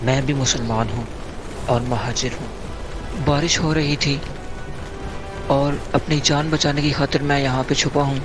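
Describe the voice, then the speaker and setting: A man's voice: mid-range voice, steady tone, a little slow, long pauses, music in the background, lightly acted. An actor playing a frightened male refugee hiding in a forest in an audio drama. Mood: fearful. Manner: guarded, then pleading.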